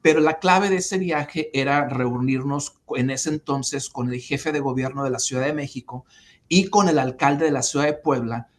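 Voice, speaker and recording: Mexican-born man recounting at length. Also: mid-range voice. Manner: measured, with frequent fillers and restarts. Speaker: interview guest. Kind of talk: conversational interview answers.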